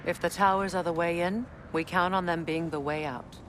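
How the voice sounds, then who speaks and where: A woman voicing a resolute, commanding warrior queen in a video game, speaking in a firm, measured way. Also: lowish voice, steady tone, lightly acted. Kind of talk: cinematic video game acting. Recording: loud ambient noise behind.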